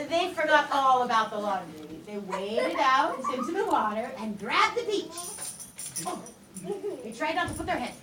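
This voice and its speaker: A woman telling a story to young children in a playful, lively way with drawn-out emphasis, in a classroom. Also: high voice, loud music behind the voice. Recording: echoey room from far off.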